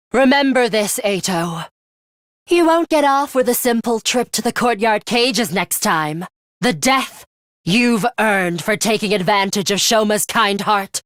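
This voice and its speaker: A woman playing a stern, ruthless young female fighter in a video game, speaking in a terse, measured and commanding manner. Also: a little high, dry recording, studio quality. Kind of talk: scripted video game voice acting.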